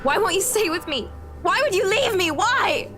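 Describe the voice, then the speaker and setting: A high, eerie ghost woman speaks over clear background music. She is plaintive and mock-sweet, with menace underneath, in horror game style. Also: animated, normal speed. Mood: pleading, eerie. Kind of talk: horror visual novel game acting.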